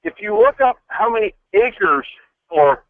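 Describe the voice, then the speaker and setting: A male caller with a fairly high, animated voice chats casually. He comes through a narrow, muffled phone line with no room sound. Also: measured pace, vocal fry, dry recording. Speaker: radio show caller.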